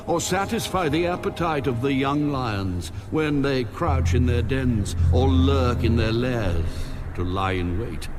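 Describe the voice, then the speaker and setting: A man delivers a dramatic, solemn scripture narration at a slow, deliberate pace. His pitch sits in a middle range, and loud music plays behind every phrase. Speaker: audio Bible narrator. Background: loud background music.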